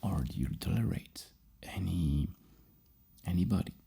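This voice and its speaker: Very low, creaky ASMR whisperer. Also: animated, measured pace, dark tone. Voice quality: breathy, husky, creaky whisper. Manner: hesitant, repeats and rephrases questions. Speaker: ASMR roleplayer playing a psychologist asking questionnaire questions. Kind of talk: soft-spoken whispered ASMR roleplay. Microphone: close microphone.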